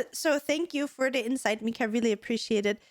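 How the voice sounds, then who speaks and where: A woman co-hosting a casual podcast, chatty and a little hoarse, clearing her throat often. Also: on the high side, a little fast, no room sound, clean studio sound. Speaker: podcast co-host.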